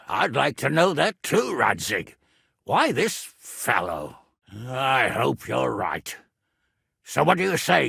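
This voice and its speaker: Blustery bossy middle-aged lord for a video game, gravelly, forceful, super dry recording. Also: male voice, on the high side, dry recording, exaggerated voice. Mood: blustering, scolding. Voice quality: gravelly, raspy. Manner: gruff, commanding, scolding. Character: blustering, irascible medieval nobleman, guardian of his ward. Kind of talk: dramatic video game character dialogue.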